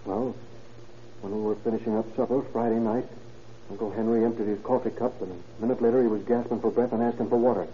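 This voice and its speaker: An actor playing an anxious, talkative young murder suspect in an old radio crime drama, speaking in slangy, earnest pleas. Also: male voice, on the low side, fairly even intonation, quick pace, warm tone, close to an everyday voice. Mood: grim recounting. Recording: muffled, noisy vintage radio recording.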